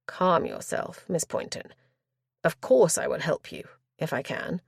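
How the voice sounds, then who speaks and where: A woman voicing a composed young aristocratic man who speaks quietly and tersely. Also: deep voice, huge pitch swings, unhurried, creaky, breathy edge, no room sound, studio quality, full character voice. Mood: restrained. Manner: quiet, clipped, restrained.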